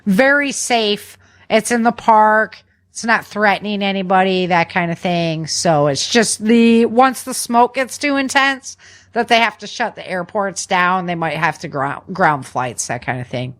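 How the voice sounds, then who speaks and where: A woman's voice: medium pitch, animated, unhurried. Woman, American accent, podcast host, chatty, informal, slangy, casual news commentary.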